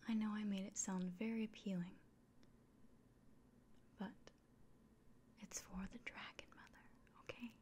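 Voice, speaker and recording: A kindly elven innkeeper played by a woman speaks in a soft, breathy whisper in an ASMR fantasy roleplay. She is earnest and reassuring, keeping an even, narrow melody as she explains things unhurriedly. A faint ambient noise sits behind her. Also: medium pitch, a little slow, long pauses, natural voice. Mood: gentle warning.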